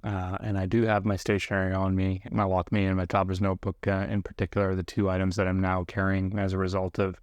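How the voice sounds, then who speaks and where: A middle-aged man talking reflectively and casually to viewers as a video host, unhurried and often pausing mid-sentence. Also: low-pitched, monotone, darkish tone, no echo, highs cut off. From grew up partly in South Korea, living in Canada.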